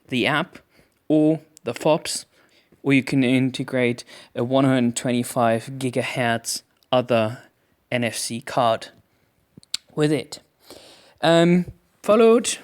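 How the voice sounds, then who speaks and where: A man with a medium-pitched voice presents a product review. He narrates an unboxing in a measured, explanatory manner. His delivery moves along phrase by phrase. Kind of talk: unboxing review narration over hand-held footage.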